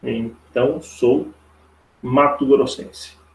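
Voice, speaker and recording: A Brazilian man with a creaky voice teaching a live online logic lesson step by step, informally, repeating himself and checking for agreement with tag questions. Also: mid-range voice, fairly even intonation, drawn-out words. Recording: roomy, echoey online class audio.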